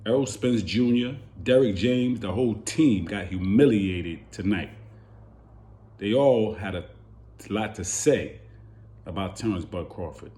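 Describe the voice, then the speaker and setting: Hoarse man ranting about boxing. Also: lowish voice, measured pace, dark tone. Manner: heated, repeating rhetorical questions. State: hoarse from yelling. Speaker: boxing channel host. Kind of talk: animated boxing commentary rant.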